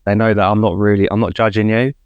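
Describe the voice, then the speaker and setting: A man with a London accent chats on a podcast, self-deprecating and quick to laugh. He talks fast in a low voice, and the sound is dry and close. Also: little pitch movement, smooth, no room sound, highs cut off. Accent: London English. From London, England. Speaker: podcast guest. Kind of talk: casual chat.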